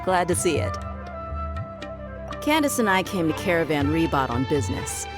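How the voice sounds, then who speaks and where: A woman's voice: low-pitched, very animated, normal speed. A woman voicing a bold, breezy, teasing adventurer in a video game, casual and wry. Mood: friendly, amused. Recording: music clearly behind the voice.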